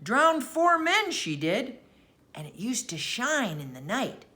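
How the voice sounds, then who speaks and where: A woman voicing a hot-headed, eager teenage fisherman boy in a storybook, emphatic and quick to protest. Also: fairly high pitch, sing-song, bright, forceful tone, voice pushed up, exaggerated voice. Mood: eerie recollection. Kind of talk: storybook character voice.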